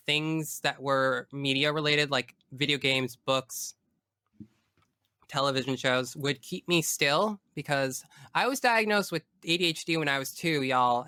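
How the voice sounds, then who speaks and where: Young woman, bright clear voice, dry sound. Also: low-pitched, no echo. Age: young adult. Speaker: podcast guest. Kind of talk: casual interview chat about video games.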